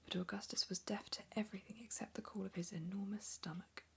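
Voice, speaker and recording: A woman reading a story aloud in a soft whisper. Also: mid-range voice, fairly even intonation, fast talker, gravelly, slightly rough.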